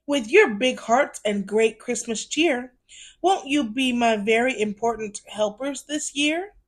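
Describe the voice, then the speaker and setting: Woman reading as kindly, slow-spoken old Santa. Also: fairly high pitch. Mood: kindly, proud.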